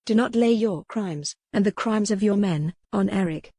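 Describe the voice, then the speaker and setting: A woman's voice: medium pitch, normal speed, warm tone, breathy edge, no room sound, clean studio sound. Proud, impassioned young medieval noblewoman, read by a narrator. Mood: fierce, defiant. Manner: impassioned, formal archaic speech. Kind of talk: historical romance audiobook dialogue.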